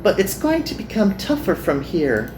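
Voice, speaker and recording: A man's voice: high voice, huge pitch swings, normal speed, darkish tone, echoey room, slight background noise, pitched above the performer's own voice, rough recording. A man voicing an earnest, perky young magical girl from an anime game.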